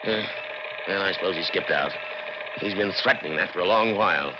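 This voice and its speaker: A man's voice: sits low, fairly quick, close to an everyday voice. A man playing a proud, smug middle-aged scientist with an American accent in a 1960s radio drama, theatrical and scornful of human assistants. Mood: musing, fussing. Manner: muttering, theatrical, self-satisfied. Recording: noisy, dull old radio broadcast.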